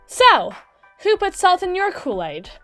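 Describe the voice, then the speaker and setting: A woman playing a brisk, sassy mermaid public attorney in a scripted ASMR roleplay, with a theatrical, sardonic, wordy delivery. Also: very high voice, wildly swooping pitch, a bit bright, soft background music, exaggerated voice. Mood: teasing. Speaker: voice actor in audio roleplay.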